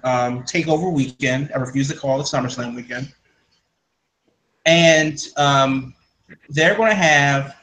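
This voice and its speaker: A man's voice: on the high side, a bit bright, a little hiss or hum, poor audio. A man hosting a casual podcast, rambling through news with many fillers and restarts. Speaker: podcast host. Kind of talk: casual wrestling news chat.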